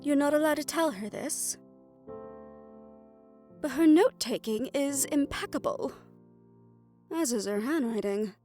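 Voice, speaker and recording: A woman playing a stern, protective female doctor who is softly fond of her listener, speaking in a measured, formal, faintly haughty way in an audio roleplay. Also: high voice, lively intonation, soft background music. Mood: dry, commanding. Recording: roomy echo.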